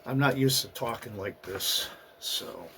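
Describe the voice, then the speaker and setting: A man hosting his own channel, talking through his past year in a halting, personal monologue, his voice raspy and hoarse from a recent flu. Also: mid-range voice, noisy background. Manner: halting, with fillers and restarts. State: getting over a flu, hoarse. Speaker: channel host. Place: at home in the US Northeast.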